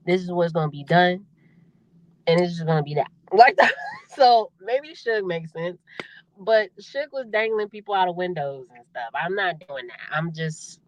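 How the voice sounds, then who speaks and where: A young adult woman with a deep voice, a singer talking casually as a podcast guest, laughing often and repeating herself as she speaks. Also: fairly bright tone, dry recording, rough recording. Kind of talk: casual interview chat.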